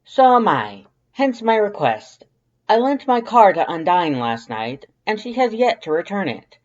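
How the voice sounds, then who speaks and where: A woman's voice: mid-range voice, very animated, measured pace, lo-fi sound. A woman voicing a prissy, verbose male skeleton in an audio drama, with a formal, grandiose, carefully enunciated delivery. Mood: polite, upbeat. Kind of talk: fan fiction audio drama acting.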